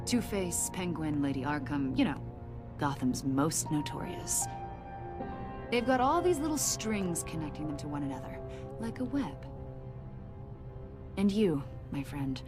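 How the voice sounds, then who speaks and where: Low-voiced, needling woman agent, over music. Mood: pointed. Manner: insinuating, needling. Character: probing, sardonic woman government agent interrogating a suspect. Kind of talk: cinematic video game dialogue. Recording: music behind the voice.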